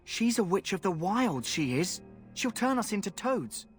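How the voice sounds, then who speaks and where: A man's voice: sits high, sing-song, a little slow, faint music behind. An actor voicing a nervous, superstitious young male recruit who frets about witches. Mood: fearful. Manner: nervous, fretful.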